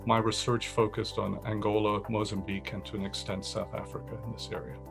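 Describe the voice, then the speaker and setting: A man, a professor, explaining his research in a steady, explanatory way with some restarts. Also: lowish voice, brisk, gravelly, over loud music. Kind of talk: interview-style talk about research.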